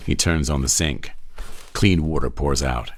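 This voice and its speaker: A man's voice: rumbling low, a little slow. A man reading screenplay stage directions as scripted narration.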